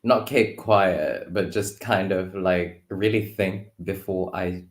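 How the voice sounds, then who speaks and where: A man's voice: deep voice, takes it slowly. A man in his thirties chatting casually and somewhat hesitantly as a guest, recorded with room echo. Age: 30s. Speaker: guest card reader. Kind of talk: casual chat. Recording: roomy echo.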